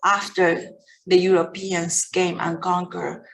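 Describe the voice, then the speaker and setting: A middle-aged woman from Mexico with a Mexican Spanish accent, whose first language is Spanish, gives a reflective public lecture over a video call, gently and with many pauses and restarts. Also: on the low side, little pitch movement, gravelly, low-quality recording. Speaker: guest lecturer.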